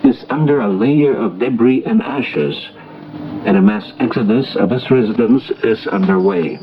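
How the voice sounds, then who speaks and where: A man reading a formal, scripted international news bulletin as a radio newsreader, in a measured delivery. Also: medium pitch. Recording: noisy, muffled shortwave radio broadcast recording.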